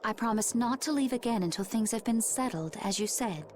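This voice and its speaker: An actress playing a calm, secretive and dutiful young woman warrior in a video game. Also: a little high, a little fast, a little hiss or hum, natural voice. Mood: calm, grave. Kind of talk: scripted video game voice acting. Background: game music.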